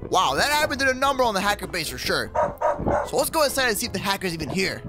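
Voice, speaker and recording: A man playing an excitable, sassy kid hero in an animated gaming roleplay, peppering his talk with slang. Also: high-pitched, quick pace, over loud music. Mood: indignant. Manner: slangy, says bro and dude constantly. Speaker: gaming video creator playing the lead character. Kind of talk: animated Minecraft kids roleplay acting.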